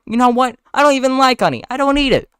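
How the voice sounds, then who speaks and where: A man's voice: high voice, expressive, a bit bright, clear, resonant tone, dry recording, clean studio sound, pitched above the performer's own voice. A man playing a jealous, irate boyfriend with a strained, sarcastic, stammering delivery. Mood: exasperated. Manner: stammering, seething, drawn-out sarcasm.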